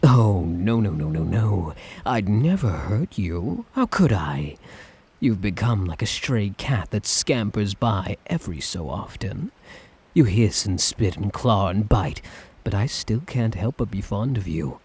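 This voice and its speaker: A suave, lovestruck tyrant, played by a man for an ASMR roleplay, speaks in an unhurried, very husky murmur. He is theatrical and teasing, lilting widely as he dotes with condescending affection and a sadistic edge. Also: fairly low pitch, big pitch swings, a little mellow, exaggerated voice. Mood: tender, possessive. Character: suave, sadistic, doting male tyrant, obsessively in love.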